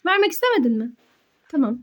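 A woman's voice: sits high, very animated, fast talker. A woman hosts a children's play video as a mother. She speaks playfully to young viewers, then turns to her child with affectionate, encouraging words.

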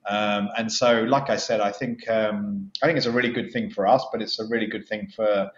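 Low-voiced man on an echoey call. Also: steady tone, a little fast. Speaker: postal company chief executive, interview guest. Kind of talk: conversational interview answers. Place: office, indoors. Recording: echoey, dull video-call audio.

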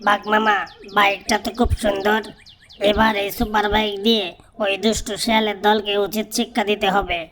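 A woman's voice: mid-range voice, level tone, brisk, a crowd in the background, lightly acted. A woman voicing a loyal, eager animal nephew, earnest and deferential, in a cartoon. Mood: eager, indignant. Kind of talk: cartoon character voice acting.

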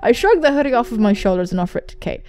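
Animated high-voiced young woman gamer. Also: rapid-fire, soft background music. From England. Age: young adult. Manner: animated, jokey, swears freely. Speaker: gaming video host. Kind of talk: let's play commentary and dramatic reading of on-screen dialogue. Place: indoor room. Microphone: large microphone close to the mouth.

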